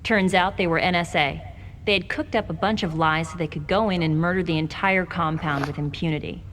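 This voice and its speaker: An actress playing a steady, candid female federal agent in a video game, recounting in a measured, matter-of-fact way. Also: on the low side, in a large hall, a little hiss or hum, close to an everyday voice. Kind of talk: video game cutscene acting.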